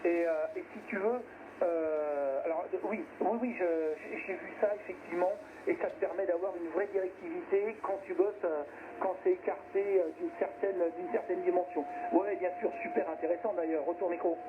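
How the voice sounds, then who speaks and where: Male radio amateur, high register, casual and agreeable, narrow-band signal with noticeable static. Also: fairly even intonation, normal speed. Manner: agreeable, repeats himself. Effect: radio transmission. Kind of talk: casual technical chat over ham radio. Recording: tinny band-limited shortwave radio, clear static.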